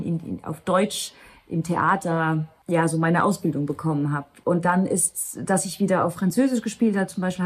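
A woman in her thirties from Luxembourg, Luxembourgish by birth, speaks in a somewhat low voice. She answers interview questions reflectively, often restarting her sentences and laughing lightly. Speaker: actress, interview guest. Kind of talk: candid interview conversation.

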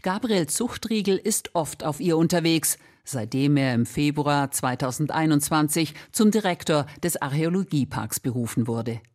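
A woman's voice: deep voice, expressive, moderate pace, few pauses, a little mellow. Female reporter voice for scripted radio features, narrating reportage in a medium register.

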